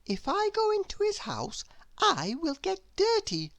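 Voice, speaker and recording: Woman putting on a high-pitched, prim little storybook miss. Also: huge pitch swings, a little slow, heavily acted. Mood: prim, reluctant. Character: sensible, anxious female storybook character, prim and earnest.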